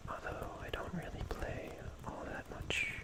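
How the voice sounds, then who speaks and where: A young man whispering casual, rambling ASMR in a hushed, gentle manner with long pauses. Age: young adult. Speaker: ASMR host.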